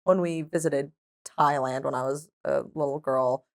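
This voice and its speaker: A woman whose first language is Hebrew, a podcast guest telling stories in a lively, chatty way and laughing often. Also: extremely low pitch, moderate pace, creaky. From Israel. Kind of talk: casual chat.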